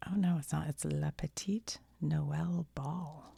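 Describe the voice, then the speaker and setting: An American woman whispering in a casual ASMR show-and-tell style, musing aloud to the viewer as she reads things out in a breathy, gravelly voice. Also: sits low, fairly even intonation, slow pace, warm tone, no echo.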